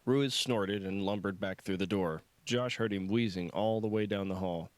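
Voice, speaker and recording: A man's voice: fairly low pitch, normal speed, dry recording. A man reading scripted fiction as an audiobook narrator.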